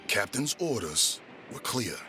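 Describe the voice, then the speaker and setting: A man playing a stern, fatherly first mate in an audio drama, speaking firmly and in measured words. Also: lowish voice, very animated, music in the background. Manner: firm, measured.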